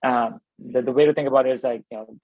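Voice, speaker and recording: A man's voice: mid-range voice, steady tone, fast talker, creaky, no echo, lo-fi sound. A man in his thirties from Pakistan, a startup founder telling his story in an interview, thinking aloud with many fillers and restarts. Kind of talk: conversational interview answers.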